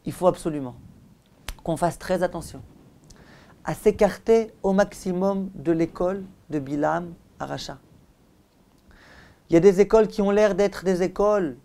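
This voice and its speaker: A man's voice: high voice, dark tone. A man speaking as a rabbi giving a religious lecture, emphatic and repetitive, addressing his listeners directly with rhetorical questions. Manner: emphatic, repeats phrases for effect. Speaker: rabbi giving a Torah lesson.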